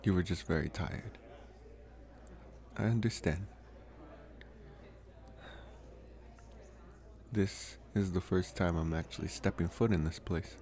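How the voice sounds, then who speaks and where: A man playing a lonely, gentle young college vampire in an intimate ASMR roleplay, speaking softly and haltingly with pauses. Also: low voice, creaky. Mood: relaxed, shy. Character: lonely, soft-spoken young male college vampire, politely devoted. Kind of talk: ASMR roleplay, intimate one-sided dialogue.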